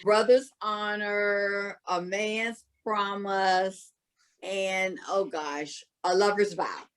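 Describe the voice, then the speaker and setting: Unhurried woman author, measured delivery. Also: medium pitch, steady tone, clean tone. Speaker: romance author guest. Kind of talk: interview answer.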